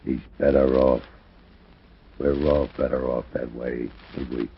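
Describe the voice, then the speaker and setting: An actor playing a bitter, sorrowful sideshow man, brooding and drawn-out in his speech, in 1940s radio drama style. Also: rumbling low, little pitch movement, gravelly, exaggerated voice. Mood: bleak, mournful. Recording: muffled radio recording with faint noise.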